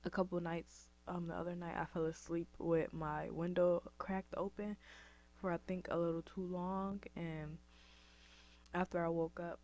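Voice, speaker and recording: A woman's voice: fairly low pitch, flat delivery, measured pace, a little mellow. A young woman from upstate New York talking to camera in a casual vlog, her voice scratchy and dry, rambling with many ums and likes. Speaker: vlogger. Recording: dull lo-fi recording.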